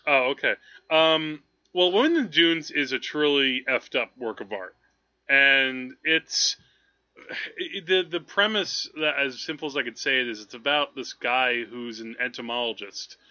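Bright, forceful man rambling about movies. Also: mid-range voice, highs cut off. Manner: rambling, halting, thinking aloud. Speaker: film podcast host. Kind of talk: casual movie discussion.

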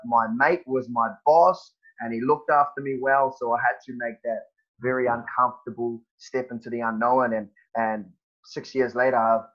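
A man, a guest on a podcast, talking in a halting, casual way with many fillers and restarts. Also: mid-range voice, highs cut off. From New Zealand. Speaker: guest, personal trainer.

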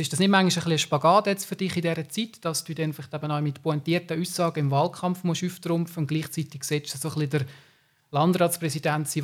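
A man interviewing a political candidate, asking long, winding questions. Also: a little high.

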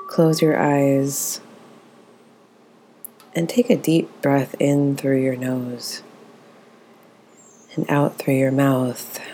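A woman leading a guided meditation as its teacher, speaking in a gentle, soothing, unhurried way. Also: deep voice, slight background noise.

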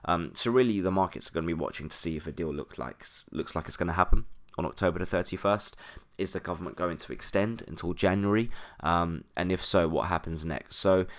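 A man's voice: low-pitched, fairly quick, fairly bright tone. A man with a stuffy, congested voice hosting a solo podcast about currency markets, explaining steadily with frequent "um" fillers. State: congested, stuffy nose from a cold. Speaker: podcast host, currency analyst.

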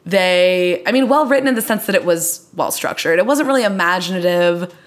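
A woman's voice: fairly low pitch, expressive, a little fast. Woman chatting casually, reviewing television. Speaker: podcast co-host.

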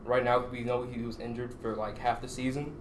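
A man's voice: medium pitch, flat delivery, fairly quick. A man as a podcast guest, debating sports in an insistent, argumentative way in an echoey, noisy recording. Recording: echoey room with loud noise.

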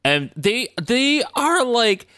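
Podcast co-host, very lively intonation, casual anime recap, mocking character impressions, close dry sound. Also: mid-range voice, slow, clear, resonant tone, dry recording. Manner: animated, acts out characters' lines mockingly.

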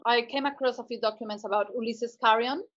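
A woman with a fairly high voice talks through her thoughts in a relaxed interview. She hesitates and restarts as she looks for the right words. Her sound comes through a little dull and lo-fi. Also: fast. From Mexico. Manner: thoughtful, searching for words with many fillers. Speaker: artist guest. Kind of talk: conversational interview.

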